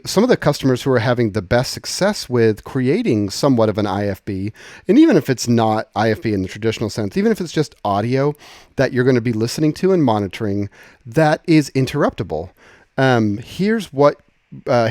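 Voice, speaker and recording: A man giving an informal, conversational software tutorial, explaining step by step and often rephrasing as he goes. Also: mid-range voice, big pitch swings, moderate pace, no room sound, studio quality. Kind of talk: casual screen-share tutorial, explanatory.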